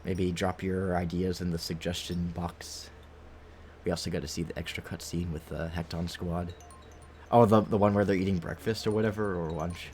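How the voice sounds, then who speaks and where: Male game streamer, low voice, rambling casual commentary, faint noise behind. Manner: rambling, thinking aloud. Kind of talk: casual live gameplay commentary.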